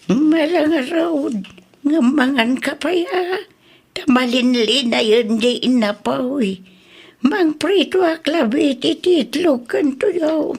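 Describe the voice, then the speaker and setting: A woman playing an elderly, protective grandmother in a radio drama, with a drawn-out, emotive delivery. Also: high voice, darkish tone. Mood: tender concern. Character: elderly grandmother, protective, grateful, easily indignant. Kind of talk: Ilocano radio drama acting.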